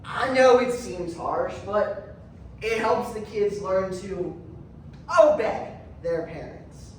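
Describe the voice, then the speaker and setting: An actress plays an evasive female official, speaking slowly in a placating, hesitant way. The stage performance is heard from a distance in a very echoey space. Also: medium pitch, close to an everyday voice. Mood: defensive. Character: defensive, evasive official excusing harsh child therapy. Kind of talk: competitive stage readers theatre. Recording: echoey room, distant mic, faint noise.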